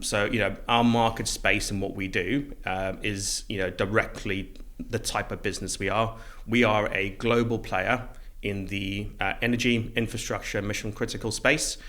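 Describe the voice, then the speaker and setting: Man, middle-aged, from South Africa, fairly low pitch, conversational interview guest. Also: a bit bright. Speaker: interview guest, recruitment business co-founder.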